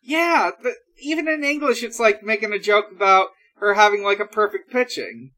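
A podcast host casually recapping a show in a halting, hesitant way. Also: medium pitch, animated, sharp tone. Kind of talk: casual episode recap chat.

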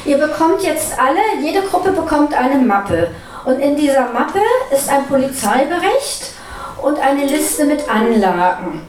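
A woman's voice: high-pitched, big pitch swings, a little slow, a little hiss or hum. A woman explaining game rules step by step to a group as its leader. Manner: step-by-step, instructing. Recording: echoey room, distant microphone.

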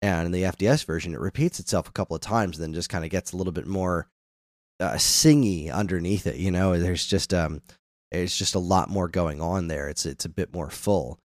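A man's voice: deep voice, brisk, dry recording, clean studio sound. A man hosting a casual music discussion, speaking enthusiastically and illustrating his points with hummed melodies. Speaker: music discussion podcast host.

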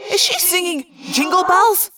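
Woman voicing an excitable, high-voiced teenage boy. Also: a little slow, slight background noise, heavily acted. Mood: incredulous. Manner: exclamatory, incredulous.

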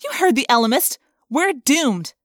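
A woman narrator plays a fiery teenage girl from an audiobook adventure. The voice is pitched high, loud and emphatic, sarcastic and overwrought, with a very dry sound. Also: big pitch swings, unhurried, dry recording, exaggerated voice. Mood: defiant. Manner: loud, emphatic, defiant outbursts.

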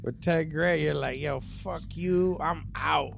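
A man co-hosting a casual podcast, animated and reactive, swearing freely. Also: fairly high pitch, slow pace, creaky, slight background noise. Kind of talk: casual banter.

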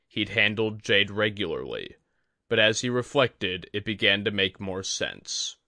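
A man reading a scripted fantasy story as an audiobook narrator, with dramatic, measured phrasing. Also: on the low side, lively intonation, pushed and bright, dry recording, clean studio sound. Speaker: audiobook reader.

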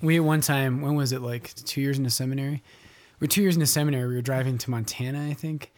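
A man's voice: medium pitch, fairly even intonation, quick pace, darkish tone. A man in his thirties, a priest guest on a podcast, chatting good-humoredly and telling stories. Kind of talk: casual chat.

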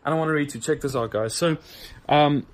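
A man's voice: a little high, quick pace, rough recording. A man from Africa, a minister talking earnestly to his viewers, often repeating words as he searches for them. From South Africa. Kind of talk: casual video talk to viewers.

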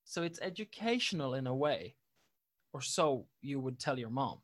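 A man's voice: mid-range voice, very animated, normal speed, fairly bright tone. A man in casual conversation, rambling with lots of fillers and restarts, and laughing easily. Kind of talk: casual chat.

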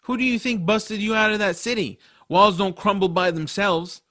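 A man playing a casual, excitable boy hero, telling a fantasy adventure in the first person. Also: high voice, moderate pace, no room sound, studio quality. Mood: narrating, indignant. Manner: casual, boyish phrasing. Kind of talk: first-person audiobook narration and drama.

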